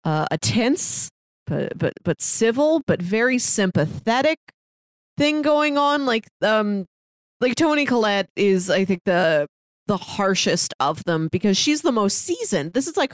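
A woman's voice: mid-range voice, very animated, measured pace, no echo. A woman co-hosting a podcast, discussing a film casually and explaining her points with emphasis. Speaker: podcast co-host reviewing a film.

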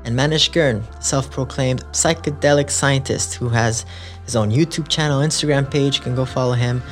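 A man's voice: medium pitch, normal speed, soft background music. A man co-hosting a podcast, upbeat and chatty, leaning on "you know" and "like". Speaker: podcast co-host. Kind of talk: casual podcast chat.

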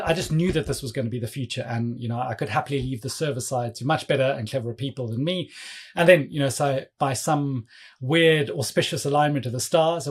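A man's voice: fairly high pitch, slightly rough. A man from South Africa with a South African accent, a guest on a podcast explaining his work in a chatty, self-deprecating way with many fillers. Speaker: guest, developer advocate. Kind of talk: casual podcast interview.

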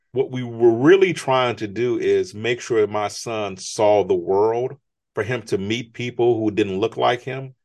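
A man speaking as an interview guest, earnest and motivational, often rising in emphasis. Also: lowish voice. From United States. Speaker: guest, business strategist. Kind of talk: conversational interview.

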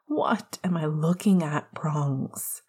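A woman's voice: low voice, lively intonation, takes it slowly, a little mellow, husky, voice pushed down, full character voice. A woman voicing a grumpy young male punk florist in a storybook reading, dry, sarcastic and exasperated.

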